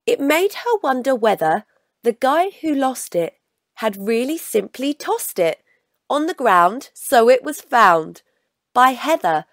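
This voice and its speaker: A woman reading a children's story aloud in an expressive, deliberate storytelling manner. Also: on the high side, no echo, studio quality. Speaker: storybook reader.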